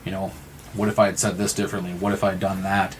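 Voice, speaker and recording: American man, low pitch, conversational interview guest, earnest, halting, faint background noise. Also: monotone. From Missouri, United States. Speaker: nonprofit representative and guest.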